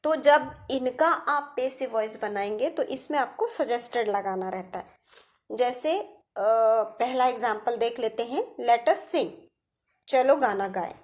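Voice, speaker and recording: A woman's voice: a little high, lively intonation, slow pace. A woman with an Indian accent giving a step-by-step grammar lesson as a teacher, addressing her students directly.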